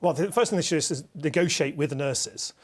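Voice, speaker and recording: Insistent higher-voiced male politician. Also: a little fast. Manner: insistent, campaigning, rhetorical lists. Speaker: political guest. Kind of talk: studio debate.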